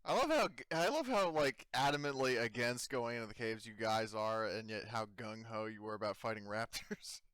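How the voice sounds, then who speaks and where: A man's voice: medium pitch, animated, moderate pace, few pauses, a bit bright, smooth. A man chatting casually at a tabletop roleplaying game.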